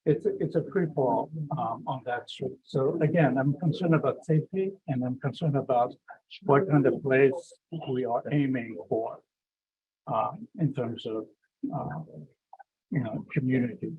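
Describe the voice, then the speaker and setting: A man with a low voice speaking hesitantly as a resident at a town meeting, with many pauses and restarts. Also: husky, phone-quality sound. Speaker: resident speaking at public comment. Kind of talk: public comment at a town meeting.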